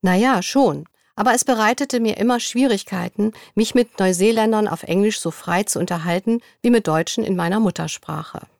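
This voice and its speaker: A young woman in a studio, reading interview answers in a scripted dialogue at an even, clear pace. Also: mid-range voice, moderate pace, hardly any pauses, no room sound.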